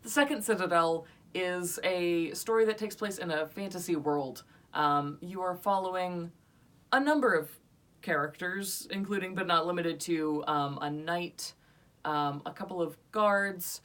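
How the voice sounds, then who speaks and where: A young woman joins a casual podcast review, hesitant and searching for words now and then. Her voice sits slightly low and sounds set back from the microphone. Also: unhurried, a bit bright, far from the mic.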